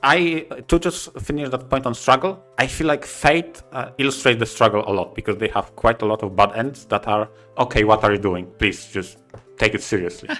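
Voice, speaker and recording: Male co-host for casual podcast talk, medium pitch, halting delivery, soft music bed behind.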